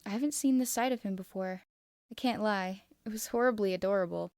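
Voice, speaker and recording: A woman reading fan fiction aloud as expressive first-person narration. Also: medium pitch, a little fast, no echo, studio quality.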